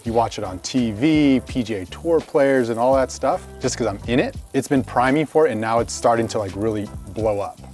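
A man co-hosting in casual banter while he cooks steaks outdoors, playful and quick to laugh. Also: mid-range voice, faint music behind. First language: Spanish. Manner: playful, laughs often, animated. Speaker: co-host cooking steaks. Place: outdoor backyard kitchen.

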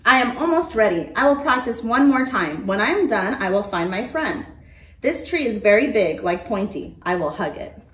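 A woman's voice: medium pitch, sing-song, moderate pace, a bit bright. A woman voicing an earnest little T-Rex. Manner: formal, careful speech without contractions. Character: tiny earnest young T-Rex, polite and determined. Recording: roomy, from a distance.

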